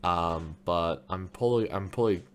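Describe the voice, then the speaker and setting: A tired man talking quietly late at night in a casual solo video, rambling with lots of fillers and restarts. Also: normal speed, slight background noise. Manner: a bit quiet, lower than usual. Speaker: esports commentary YouTuber.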